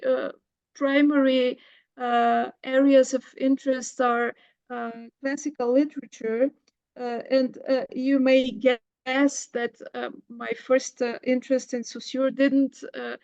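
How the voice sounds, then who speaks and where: A woman's voice: high voice, a little mellow, clear, resonant tone, dry recording, lo-fi sound. A middle-aged woman, a lecturer answering audience questions, hesitant with many fillers and restarts. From Bulgaria. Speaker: guest lecturer. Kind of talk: academic Q&A answer.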